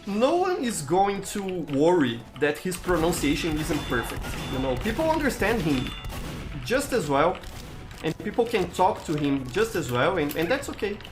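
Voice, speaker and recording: Male streamer, Brazilian accent, animated delivery, halting word-searching, background music on the stream. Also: high voice. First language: Portuguese. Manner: halting, often repeats words while searching for them. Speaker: game streamer. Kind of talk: casual gameplay commentary, answering chat.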